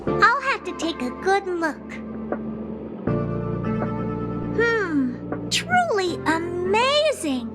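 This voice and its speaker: A doll-like female genius of a sci-fi game with a very high, lively voice. She is condescending and blunt, drawling with smug superiority, and music plays clearly behind her. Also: slow, full character voice. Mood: fascinated. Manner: condescending, smug, blunt, bored drawl turning to keen curiosity. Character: haughty, doll-like female genius scientist who owns a space station. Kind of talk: video game cutscene acting.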